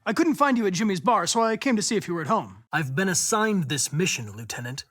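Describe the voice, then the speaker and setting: A man with an American accent playing a polite, determined young android police investigator in a video game, speaking in a precise, formal, measured way. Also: high-pitched, sing-song, a bit bright, heavily acted. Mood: formal, insistent. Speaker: actor voicing a video game character.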